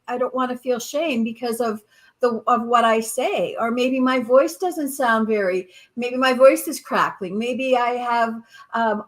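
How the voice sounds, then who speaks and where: A woman co-hosting a casual live stream, talking reflectively and haltingly, with restarts and fillers. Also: a little high, a bit bright.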